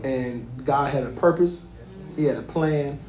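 A man's voice: medium pitch, unhurried, a little mellow, faint music behind. A man, a pastor, welcoming guests and leading a closing prayer in a folksy, emphatic way, laughing often. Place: church sanctuary. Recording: echoey church room.

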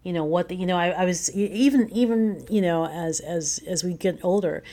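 A woman's voice: lowish voice, fairly quick, warm tone. An American woman, middle-aged or older, talking reflectively as a guest playwright in an interview, explaining thoughtfully and building points through repeated phrases.

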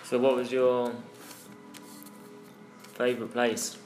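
A man's voice: medium pitch, completely flat delivery, slow pace, a little mellow, faint music behind. A man interviewing a traveller, asking curious follow-up questions in a casual chat. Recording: echoey room with faint noise.

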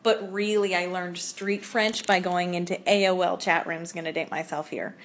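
A woman's voice: lowish voice, steady tone, moderate pace. A woman from Ohio with a Midwestern accent, co-hosting a podcast in casual, chatty talk and laughing easily. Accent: Midwestern American. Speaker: podcast co-host. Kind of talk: casual chat.

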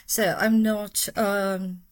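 A woman's voice: mid-range voice, flat delivery, extremely slow, dry recording, clean studio sound. A Brazilian woman, a neuroscientist guest, answering interview questions carefully with many fillers and self-corrections. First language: Portuguese. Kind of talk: casual interview talk.

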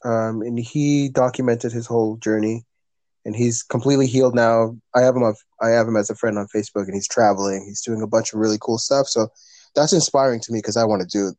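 A man's voice: mid-range voice, steady tone, fairly quick, a little mellow, no room sound. A man, talking earnestly as a podcast guest in a rambling, casual way with many fillers. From Canada. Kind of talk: casual conversation.

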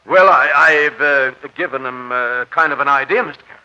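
A man's voice: mid-range voice, moderate pace, bright, forceful tone. A male actor playing an earnest, flustered, sometimes hesitant policeman in a vintage radio drama. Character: flustered, earnest policeman, deferential but officious. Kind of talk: 1940s radio drama acting. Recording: muffled, hissy vintage radio recording.

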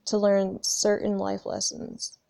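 Gravelly-voiced young woman musing aloud. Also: mid-range voice, completely flat delivery, slow pace, mellow, no room sound. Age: 20s. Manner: rambling, candid, swears freely, frequent restarts. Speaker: spiritual vlogger. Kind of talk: casual reflective monologue.

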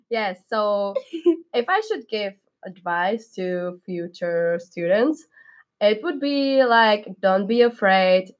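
Young woman, Czech accent, upbeat student guest, easy laugh. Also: mid-range voice. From Czech Republic. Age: young adult. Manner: upbeat, laughs often, careful non-native English. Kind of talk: casual podcast chat.

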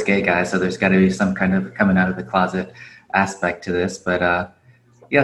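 A man, a podcast guest, talking casually and reflectively with many fillers and easy laughs; he grew up in Japan. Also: lowish voice. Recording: roomy echo.

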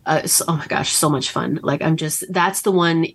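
A woman's voice: sits low, normal speed, vocal fry, breathy edge. A woman in her thirties from Northern Kentucky, a romance author chatting casually as an interview guest, with lots of ums and likes. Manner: chatty and animated, frequent ums and likes, laughs as she talks.

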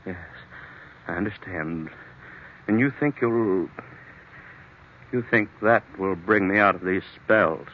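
A man's voice: deep voice, slow pace, pauses often, slight background noise. A male actor playing a confused, forgetful, apologetic husband in an old-time radio mystery, speaking in a hesitant, faltering way. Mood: anxious, bewildered. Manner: hesitant, faltering, repeats himself. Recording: tinny, muffled old radio broadcast.

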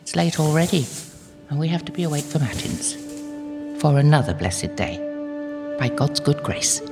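A woman playing a gentle, devout medieval anchoress in an audio drama, hushed and contemplative, at times near a whisper. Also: low-pitched, lively intonation, moderate pace, loud music behind the voice. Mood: fondly scolding. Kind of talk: audio drama acting, reflective monologue. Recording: long reverb, faint background noise.

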